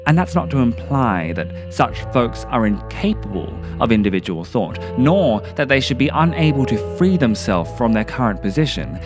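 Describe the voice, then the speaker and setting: A man narrating a scripted video essay in a contemplative, literary manner with theatrical phrasing. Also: mid-range voice. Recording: music behind the voice. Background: music.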